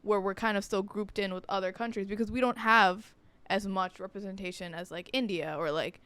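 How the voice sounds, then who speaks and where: A woman's voice: medium pitch, fairly even intonation, fairly quick, a bit bright, smooth, no room sound. A young woman, chatting casually and earnestly as a podcast co-host.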